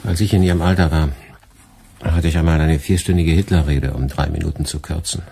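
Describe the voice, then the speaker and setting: An actor playing a dry, sardonic middle-aged radio department head with a husky, measured, ironic delivery in a radio play. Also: male voice, a bass voice, steady tone, warm tone, slight background noise. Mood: wry, reflective. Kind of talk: German radio play acting.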